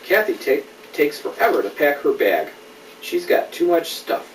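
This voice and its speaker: A middle-aged man reading a children's story aloud in an animated way, with conversational questions to a young listener. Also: a little high, moderate pace. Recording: roomy, distant home recording with noise.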